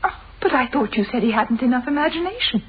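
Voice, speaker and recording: A forgiving, quietly assertive wife in a radio play. Her voice sits fairly high and moves with great animation, brisk and reassuring. The old recording is dull in tone. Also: animated, breathy edge, exaggerated voice. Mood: surprised. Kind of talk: radio drama acting.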